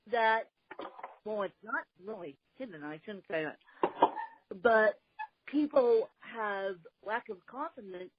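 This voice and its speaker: A woman hosting a podcast interview, speaking deliberately with a slight speech impediment. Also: mid-range voice, lo-fi sound, background noise. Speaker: podcast host.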